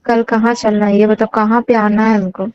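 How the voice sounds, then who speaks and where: A woman's voice: mid-range voice, level tone, moderate pace, clean tone. A young woman chatting casually and intimately on a phone call, coy, often answering with short hums. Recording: dull lo-fi phone call recording, roomy.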